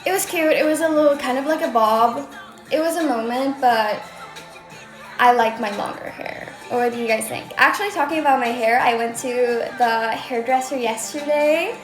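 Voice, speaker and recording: A woman's voice: high voice, normal speed. Bubbly young Swedish woman, light accent. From Sweden. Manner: chatty, bubbly, many "like" fillers. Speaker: livestream host. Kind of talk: casual livestream chat answering comments. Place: her own room. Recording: roomy echo with faint music.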